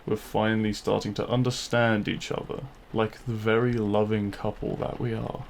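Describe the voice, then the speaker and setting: An actor playing a demon king, a deliberate and intimate lover, speaking straight to the listener in a romantic audio roleplay. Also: fairly low pitch, level tone, creaky, slight background noise. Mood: smug, commanding. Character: feared demon king, menacing in public, tender lover in private.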